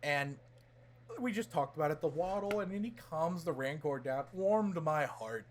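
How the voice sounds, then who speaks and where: Guy with a highish voice chatting on a fan podcast, hyped up and sweary, lots of hyperbole. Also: normal speed, a little hiss or hum. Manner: excitable, hyperbolic fan talk with frequent swearing. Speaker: podcast co-host. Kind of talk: casual pop-culture podcast discussion.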